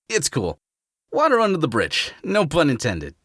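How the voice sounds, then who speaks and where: A brash young male hedgehog hero voiced by a woman in an audio drama. The pitch is low and swings wildly with playful, slangy cockiness. The recording is close and dry. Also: huge pitch swings, measured pace, breathy edge, no room sound, exaggerated voice. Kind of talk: fan audio drama acting.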